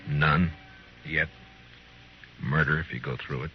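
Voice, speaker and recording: A stern, weary frontier lawman in an old radio western. His voice is extremely low and his manner laconic and authoritative. The recording is muffled vintage radio with some music behind. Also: steady tone, slow. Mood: firm, warning. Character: stern, weary, plain-spoken male frontier US marshal. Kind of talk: 1950s radio western acting.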